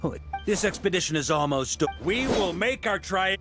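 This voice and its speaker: A man's voice: high-pitched, lively intonation, a little fast. An actor playing a confident, commanding veteran male knight commander in a video game. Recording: game audio over music.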